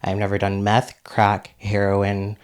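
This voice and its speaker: A man's voice: lowish voice, measured pace, clean tone. Sassy campy man in his thirties. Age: 30s. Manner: sassy, campy, animated storytelling with quoted voices. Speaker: podcast co-host. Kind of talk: casual chat.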